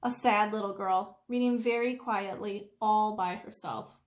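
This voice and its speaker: A woman reading a children's story aloud expressively, with deliberate, drawn-out emphasis. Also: medium pitch, steady tone. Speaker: storytime reader. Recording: roomy indoor echo.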